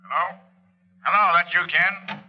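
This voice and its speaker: An actor playing an intelligence chief giving a hesitant, matter-of-fact briefing by telephone in a vintage radio drama. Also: male voice, sits high, steady tone, unhurried, pushed and bright, exaggerated voice. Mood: inquiring. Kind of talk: 1940s radio acting. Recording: muffled old radio telephone call.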